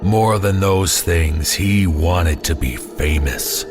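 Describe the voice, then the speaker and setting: Haunted young male student, played by a man narrator: tearful, halting, slow horror-story delivery over clear music. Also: low voice. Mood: haunted, fearful. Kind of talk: horror story character dialogue.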